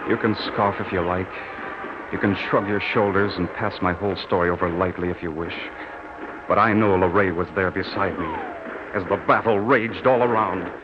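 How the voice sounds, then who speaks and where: Muffled, low-voiced, wistful young soldier played by a man. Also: steady tone, normal speed, very noisy, close to an everyday voice. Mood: intense, haunted. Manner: folksy, wistful, solemn storytelling. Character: earnest, lovelorn young farm-raised soldier telling his story. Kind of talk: 1940s radio drama narration. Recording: muffled old radio recording with music. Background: dramatic music.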